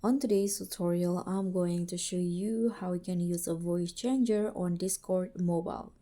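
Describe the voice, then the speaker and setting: A woman narrating a casual how-to tutorial as its host, in a halting way with repeated and corrected words. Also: lowish voice, fairly even intonation, mellow.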